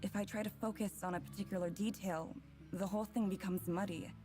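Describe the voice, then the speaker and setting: A woman's voice: mid-range voice, steady tone, brisk, over music, lightly acted. An actress playing an anguished young woman who narrates a fairy vision in a dramatic visual novel. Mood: unsettled, awed.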